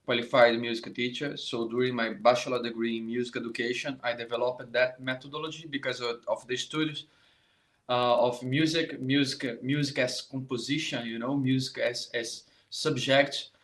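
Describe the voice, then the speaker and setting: Brazilian man teaching live online, halting. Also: medium pitch, flat delivery, a bit bright. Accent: Brazilian Portuguese. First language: Portuguese. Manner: halting, repeats words often, addresses viewers directly. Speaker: saxophone teacher. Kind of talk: live online masterclass talk.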